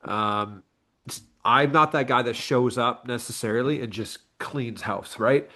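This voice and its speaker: A man in his late thirties speaks as a guest in a relaxed interview. His pitch is middling, and he talks in a candid, reflective, earnest way, like an athlete and coach chatting informally. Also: measured pace. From Canada. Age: late 30s. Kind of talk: casual interview chat.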